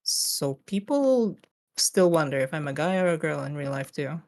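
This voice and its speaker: A man with a Filipino English accent and a naturally high-pitched voice, chatting playfully with his raid group over a voice call. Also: lively intonation, normal speed, a little mellow, no room sound. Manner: playful, teasing, laughs often. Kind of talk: casual voice chat while gaming.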